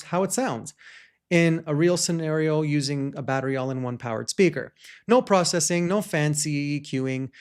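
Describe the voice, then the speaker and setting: A man hosting a gear review, talking in an enthusiastic, chatty way with small restarts, recorded on a shotgun microphone. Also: fairly high pitch. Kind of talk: product review talk.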